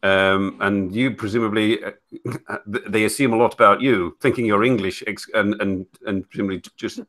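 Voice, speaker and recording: A man's voice: fairly low pitch, fairly even intonation, fairly bright tone. A man hosting a casual interview chat at home, often restarting and repeating his words as he frames questions. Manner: halting, restarts phrases often. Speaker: host. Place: home living room.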